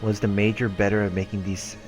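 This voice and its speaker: A man's voice: on the low side, level tone, brisk, rough recording. A man hosting a let's play, reading visual novel narration aloud with casual asides and the odd stumble over words. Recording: music under the voice. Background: visual novel background music.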